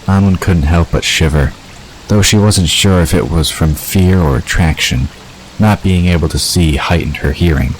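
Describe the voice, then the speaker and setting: Extremely deep-voiced male story narrator. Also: normal speed, slight background noise, rough recording. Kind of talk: scripted fiction narration.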